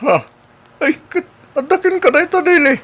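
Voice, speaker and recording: An actor playing an eager male suitor in an Ilocano radio comedy drama, with an animated, drawn-out delivery. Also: very high-pitched. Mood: excited, flustered. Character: eager male suitor, offering work and lodging.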